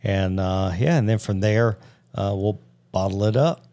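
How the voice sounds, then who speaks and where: Folksy podcast guy, kinda low voice, super relaxed, keeps saying man and dude. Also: measured pace. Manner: laid-back, folksy, says "man" and "dude". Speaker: podcast co-host. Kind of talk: casual chat.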